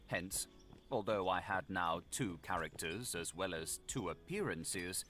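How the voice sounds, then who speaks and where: A man playing a grave, scholarly Victorian gentleman confessing his secret evil in a formal, theatrical audiobook narration. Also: low-pitched, measured pace. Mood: grave confession. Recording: loud vehicle and machinery noise behind. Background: vehicle and machinery noise from a video game.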